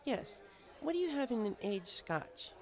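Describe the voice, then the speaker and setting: Man putting on a high, creaky bookish young mare. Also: very animated, a little slow, full character voice. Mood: polite. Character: studious young female pony, polite and loosening up.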